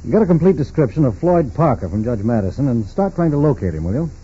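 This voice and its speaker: An actor playing a calm, probing FBI agent in a 1940s radio drama, questioning in a businesslike, matter-of-fact way. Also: male voice, mid-range voice, fast, breathy edge. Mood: calm, pointed. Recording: muffled, noisy 1940s radio recording.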